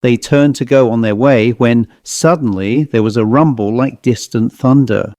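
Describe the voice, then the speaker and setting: A man narrating a scripted story as an audiobook reader, in a measured, deliberate manner. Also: medium pitch, mellow, dry recording, clean studio sound.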